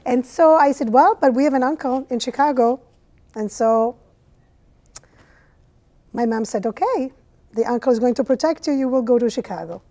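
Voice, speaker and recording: A woman's voice: high voice, normal speed, long pauses, dark tone. A woman from Italy with an Italian accent, a language teacher chatting as an interview guest, with mm-hmms as she tells stories. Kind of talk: casual interview conversation. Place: cable TV studio.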